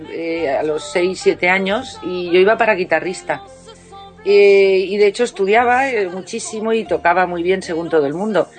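Chatty woman singer, radio guest. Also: medium pitch. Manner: warm, chatty, many restarts and fillers. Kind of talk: casual radio interview.

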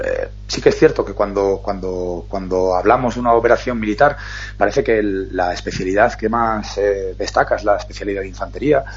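Fast-talking man, very husky. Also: on the low side, dull audio. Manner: fast, earnest, explanatory. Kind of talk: casual radio chat.

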